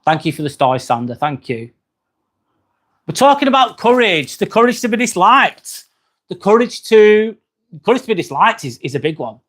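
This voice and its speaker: A man with an accent close to Manchester hosts a relaxed livestream, chatting easily with his viewers. His voice sits a touch higher than most men's, and he often fills pauses with a quick "um". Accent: northern English, near Manchester. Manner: chatty, friendly, frequent "um" fillers. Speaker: livestream host. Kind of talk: casual livestream chat reading comments.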